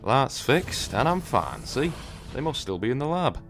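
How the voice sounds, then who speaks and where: A man's voice: medium pitch, sing-song, moderate pace, fairly bright tone, soft background music. An actor playing an anxious crewman on a research ship, insisting with bravado in an audio drama. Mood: tense bravado.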